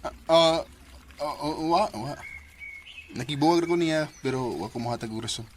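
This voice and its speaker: A man's voice: a little high, moderate pace, close to an everyday voice. Actor as flustered young Bisaya man, noisy recording. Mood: evasive. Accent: Filipino, Bisaya. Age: young adult. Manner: hesitant, stammering. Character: evasive, easily flustered young male student sweetheart. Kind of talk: radio drama acting. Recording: noisy radio drama recording with ambience.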